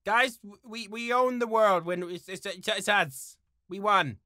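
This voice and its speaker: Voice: mid-range voice, unhurried, bright, forceful tone, dry recording, clean studio sound. A host reading social media posts for comedy, with a playful, theatrical delivery.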